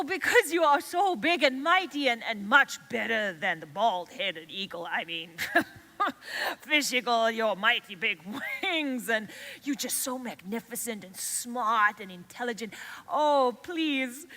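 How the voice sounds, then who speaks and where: Lady doing a high pleading young mom, breathless, bright and tense. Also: animated, a little slow. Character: eager, pleading young mother.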